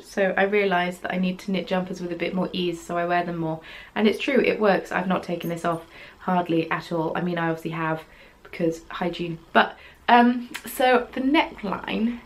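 A woman's voice: medium pitch, fairly bright tone. A young woman hosting a knitting podcast, chatting casually from her craft room in a rambling, self-deprecating way with plenty of ums. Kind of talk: casual chat. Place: small craft room at home, yarn on the wall behind.

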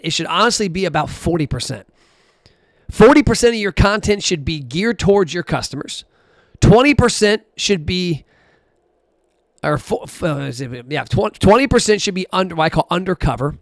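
A man's voice: high-pitched, no echo. A man hosting a solo podcast, talking bluntly and casually, sometimes counting numbers aloud as he scrolls. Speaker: podcast host.

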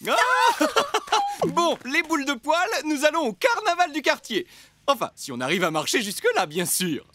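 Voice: high voice, wildly swooping pitch, moderate pace, sharp tone, no room sound, faint music behind, heavily acted. An actor voicing a jolly, bumbling pet owner in a children's cartoon. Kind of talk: animated cartoon acting.

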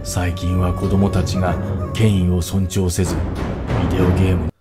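A man's voice: sits low, flat delivery, fast, music in the background, natural voice. Man voicing a grave, theatrical anime warrior beast. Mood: brooding, vengeful. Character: brooding vengeful warrior bear and cunning fox villain. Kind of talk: dramatic anime-parody cartoon voice acting.

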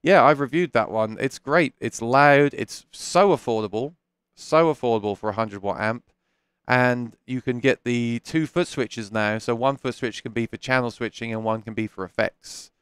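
A man's voice: medium pitch, normal speed, a bit bright, smooth, no echo. A man in his thirties hosting a casual live stream from a small home studio, talking candidly through a headset mic and answering viewer questions with frequent restarts. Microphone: headset microphone.

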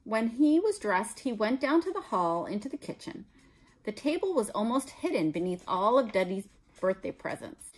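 Casting: American female teacher, middle-aged, mid-pitched, animated intonation, reading and explaining a storybook to children. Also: normal speed, poor audio. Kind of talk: expressive children's read-aloud with teaching asides. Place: small room at home, indoors.

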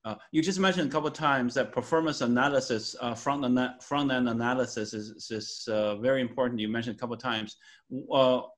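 A man's voice: medium pitch, fairly even intonation. Hesitant middle-aged Chinese man hosting an interview. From China. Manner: hesitant, halting questions with many fillers. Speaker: interviewer host. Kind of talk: video call interview. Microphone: video call webcam.

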